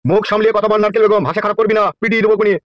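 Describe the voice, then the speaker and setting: A man's voice: high voice, monotone, very fast, highs cut off, noisy background, natural voice. A man playing an arrogant, philandering politician in a comedy cartoon, speaking in a crude, brusque, threatening way. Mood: contemptuous threatening. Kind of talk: amateur Bengali comedy cartoon dialogue.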